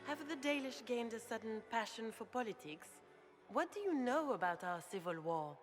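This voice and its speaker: An actress playing a poised, scheming grand duchess in a fantasy game, courtly, imperious and deliberate. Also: female voice, fairly high pitch, lively intonation, lightly acted. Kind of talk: dramatic game dialogue. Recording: echoing hall, music behind.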